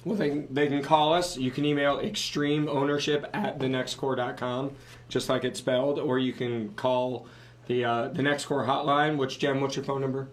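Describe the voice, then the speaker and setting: A man's voice: medium pitch, level tone, normal speed. A man co-hosting a live podcast, losing his voice, talking casually and cracking jokes. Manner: joking, loose, rambling. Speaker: podcast co-host.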